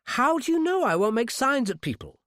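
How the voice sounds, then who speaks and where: A man's voice: extremely high pitch, big pitch swings, normal speed, a bit bright, no room sound, pitched above the performer's own voice, full character voice. A scared young woman hostage, pleading in a raised, lifted voice that climbs and dips widely, as a male reader puts her on. She keeps pressing her point, courage showing through the fear. The sound is close and dry. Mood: defiant. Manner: pleading, persistent. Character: frightened but brave young woman hostage.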